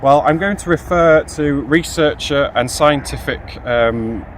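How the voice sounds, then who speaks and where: A man's voice: on the high side, measured pace. A man in his thirties giving a methodical explanation to camera as a field geologist. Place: grassy hillside outdoors. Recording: outdoor recording with faint ambient noise.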